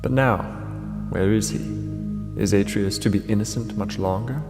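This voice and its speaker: Guy doing a brooding vengeful king from an old tragedy, gravelly, theatrical, music playing under him. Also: lowish voice, normal speed, natural voice. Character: vengeful, brooding tyrant king, tormented by rage. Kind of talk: classical tragedy monologue, declaimed audio drama. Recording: long hall reverb, music underneath. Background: dramatic music.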